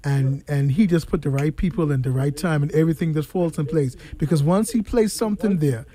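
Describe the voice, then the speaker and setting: A man hosting a conversational religious radio interview, speaking haltingly and often repeating words. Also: fairly high pitch, dark tone. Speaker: radio host interviewing guests.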